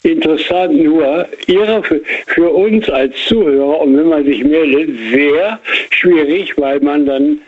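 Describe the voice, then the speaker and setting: A somewhat high-voiced older man who rambles and hesitates as he reflects on life. He comes through a thin, tinny phone line. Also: a little slow. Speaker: caller. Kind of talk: phone-in chat.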